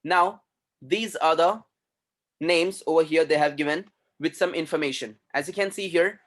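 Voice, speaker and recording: A man's voice: fairly high pitch, moderate pace, fairly bright tone. A man teaching an online English class, reading aloud and correcting students' pronunciation, often repeating his instructions.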